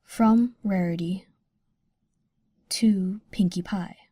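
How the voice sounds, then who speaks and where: A woman narrating from a script in short, measured announcements. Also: mid-range voice, steady tone, dark tone, slightly rough, no echo, studio quality.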